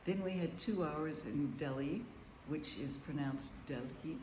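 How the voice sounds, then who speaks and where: A man telling a casual travel diary to camera, meandering and chatty with frequent ums and pauses. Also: fairly high pitch, darkish tone, slight background noise. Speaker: travel vlogger. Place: large room with high ceilings, indoors. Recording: echoey room, faint background ambience.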